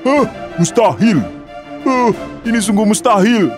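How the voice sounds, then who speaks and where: A man's voice: high voice, expressive, a little slow, music in the background. A man playing an arrogant, mocking foreign challenger in a children's folktale drama, taunting and boastful. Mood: shocked disbelief.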